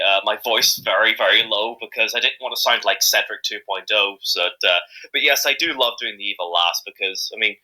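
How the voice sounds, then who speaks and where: A man speaking as an amateur voice actor being interviewed, chatting casually in a jokey, halting, rambling way. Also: medium pitch, bright, forceful tone, rough recording.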